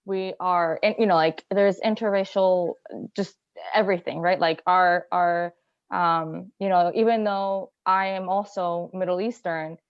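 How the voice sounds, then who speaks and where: A woman's voice: lowish voice, fairly even intonation, measured pace, smooth, dry recording. A woman from Iran on a video call, thinking aloud in a casual discussion with many fillers. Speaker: journal club participant. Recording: muffled dry call audio.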